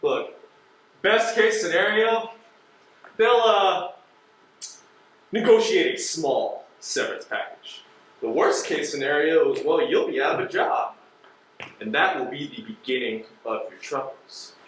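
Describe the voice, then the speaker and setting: An actor playing a pressuring, sarcastic postal inspector on stage, questioning a suspect in a deliberate, insinuating manner. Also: male voice, fairly high pitch, animated, a bit bright. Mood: coldly threatening. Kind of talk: stage play acting. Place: theater stage. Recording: very echoey stage, distant microphone.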